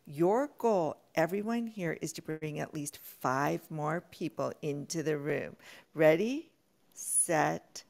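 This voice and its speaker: Energetic woman hosting a live audio room. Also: low-pitched, slow pace, creaky. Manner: energetic, hype-building, laughs often. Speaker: room moderator. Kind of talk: enthusiastic Clubhouse hosting.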